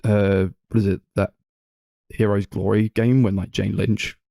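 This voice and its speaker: A man chats as a podcast co-host in a casual film discussion. His voice is slightly low and very mellow. He rambles, dropping "like" often, and the recording is dry. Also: level tone, measured pace, vocal fry, no echo. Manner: rambling, full of "like".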